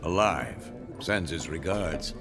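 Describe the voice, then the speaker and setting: An actor playing an older monster hunter and mentor in a video game, wry and unhurried. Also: male voice, a bass voice, lively intonation, full character voice. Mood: wry, calm. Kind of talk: video game cinematic acting. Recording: clear music behind the voice.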